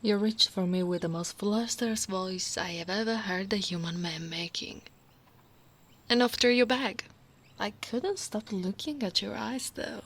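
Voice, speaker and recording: A woman playing an affectionate, dominant yet gentle female orc royal guard in an intimate, often whispered ASMR roleplay. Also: medium pitch, lightly acted. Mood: fond, amused. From Italy. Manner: slow, teasing, tender, often dropping to a whisper.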